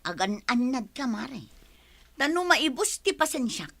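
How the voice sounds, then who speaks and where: Embattled mother, bright voice. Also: high-pitched, sing-song, unhurried, vocal fry, full character voice. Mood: indignant. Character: embattled wife and mother, quarrelsome yet forgiving. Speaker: radio drama actress. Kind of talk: Philippine radio drama acting.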